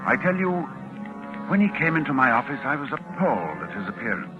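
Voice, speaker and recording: An actor playing a dignified, fearful university scholar, with dramatic educated delivery in a 1940s radio horror drama. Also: male voice, mid-range voice, big pitch swings, a little fast, lo-fi sound, natural voice. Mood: haunted, dramatic. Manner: dramatic, educated, hesitant when alarmed. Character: learned male university librarian, dignified, appalled and fearful. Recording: band-limited 1940s radio recording with music.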